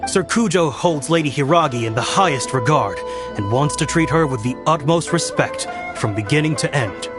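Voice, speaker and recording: An actor playing a dutiful commission guard in a video game, formal and reassuring in an official tone. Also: male voice, a little high, animated, normal speed, close to an everyday voice. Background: game music.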